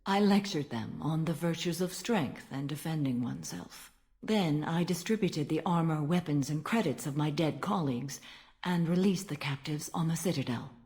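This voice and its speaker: An actress playing a stern, ancient alien warrior-monk in a video game, speaking in a formal, measured and solemn manner. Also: female voice, low-pitched, a little mellow, close to an everyday voice. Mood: solemn recollection. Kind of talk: dramatic video game dialogue.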